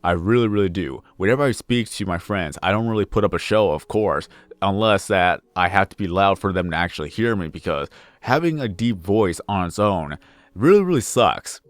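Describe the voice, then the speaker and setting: A young man with a deep baritone voice chatting casually over gameplay, rambling and correcting himself as he goes, pushing extra energy into his delivery. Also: no echo. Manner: rambling, self-correcting, repeats phrases; puts on extra energy for videos. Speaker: gaming YouTuber. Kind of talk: casual let's play commentary.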